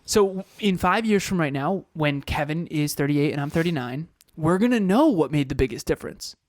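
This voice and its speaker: A man's voice: a little high, animated, moderate pace, no room sound, clean studio sound. A man in his thirties co-hosting a motivational podcast, talking with energy, firing off rhetorical questions and repeating phrases. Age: 30s. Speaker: podcast co-host.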